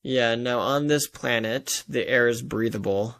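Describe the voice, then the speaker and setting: A man's voice: medium pitch, unhurried, fairly bright tone. A man speaking as a guest author in a casual interview, with an earnest, enthusiastic and informal manner.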